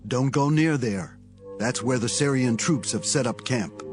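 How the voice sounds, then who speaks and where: An actor playing an authoritative, measured prophet in a dramatized Bible reading. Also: male voice, medium pitch, natural voice. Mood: warning. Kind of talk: dramatized Bible audio acting. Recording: music behind the voice.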